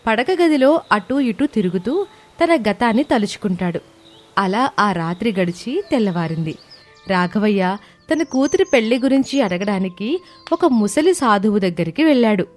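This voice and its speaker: A woman storyteller reads a scripted moral tale. Her voice sits at a medium pitch, and music plays behind her. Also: big pitch swings, normal speed, a little mellow. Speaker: story narrator.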